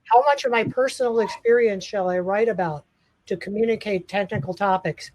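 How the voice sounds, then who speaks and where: A man's voice: high-pitched, fairly quick, darkish tone, no room sound, low-quality recording. A man who teaches college, hosting a casual video call session in a friendly, rambling way with many fillers and restarts.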